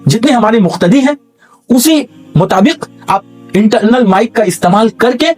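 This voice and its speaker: A man's voice: very high-pitched, moderate pace, a little mellow, faint music behind. A man from India makes an earnest public appeal, emphatic and stacking his points in lists.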